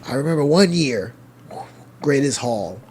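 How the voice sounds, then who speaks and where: A man's voice: mid-range voice, expressive, unhurried, darkish tone, slight background noise. A man co-hosting a casual podcast, chatty and upbeat, often finishing his friend's sentences. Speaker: podcast co-host. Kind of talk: casual chat.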